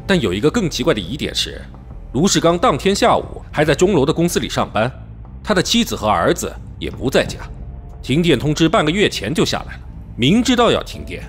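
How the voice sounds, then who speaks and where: An actor playing a stern middle-aged police chief in an audio drama, speaking with probing, deliberate authority. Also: male voice, fairly high pitch, huge pitch swings, faint music behind. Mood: puzzled, briefing. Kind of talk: Chinese audio drama acting.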